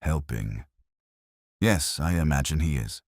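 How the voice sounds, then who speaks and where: Man playing a cold, deep-voiced smooth villain. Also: animated, measured pace, a little mellow, no room sound, clean studio sound, full character voice. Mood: cool menace. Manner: politely threatening. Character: cold, smooth, menacing male suspect.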